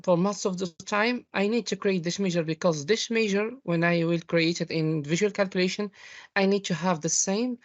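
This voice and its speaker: An audience member from Morocco with a Moroccan accent, asking a question in halting, non-fluent English and searching for words. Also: mid-range voice, no room sound. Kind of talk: hesitant spoken question in an online meeting. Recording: dull, dry lo-fi call audio.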